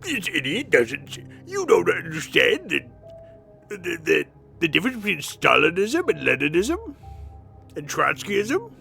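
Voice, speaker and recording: A blustering cartoon bully, put on by a man, lectures with scorn. The delivery is pompous and scolding, with big lively swings of pitch, over light music. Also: a little slow, vocal fry, exaggerated voice. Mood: indignant.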